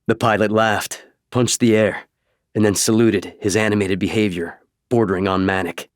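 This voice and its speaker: A man's voice: lowish voice, fairly even intonation, normal speed, dry recording, clean studio sound. A man reading scripted fiction as an audiobook narrator.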